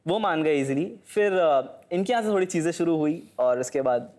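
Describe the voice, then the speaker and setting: Relaxed young man from Delhi. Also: on the high side, moderate pace. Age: young adult. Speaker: contestant. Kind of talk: casual storytelling. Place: indoor TV studio set.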